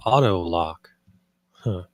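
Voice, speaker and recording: An actor at a script table read playing a gruff, pedantic and resourceful space derelict assessor. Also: male voice, low-pitched, expressive, slow, dry recording. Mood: dry, muttering.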